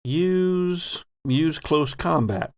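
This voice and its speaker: A man hosting and running a horror tabletop game as game master, narrating in a measured, formal, theatrical manner. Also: fairly high pitch, animated, a little mellow, no room sound. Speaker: game master, podcast host.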